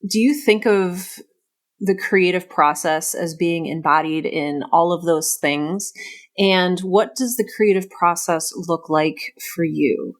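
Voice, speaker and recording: A woman's voice: on the low side, slow pace. A woman hosting a casual podcast interview, effusive and reflective, often thinking aloud as she talks. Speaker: podcast host interviewing a writer.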